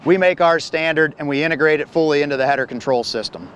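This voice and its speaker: A man's voice: fairly high pitch, fast talker, a bit bright, lo-fi sound, a little hiss or hum. A man in his thirties explaining a machine as a company representative, speaking plainly with frequent fillers and restarts, recorded outdoors on a lapel mic. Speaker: farm equipment company representative. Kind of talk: product walkaround demo. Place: outdoors on a grassy field beside a combine.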